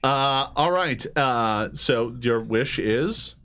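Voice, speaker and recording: A man improvising narration as game master of a tabletop game, speaking haltingly and with amusement. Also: medium pitch, expressive, a bit bright, clean tone.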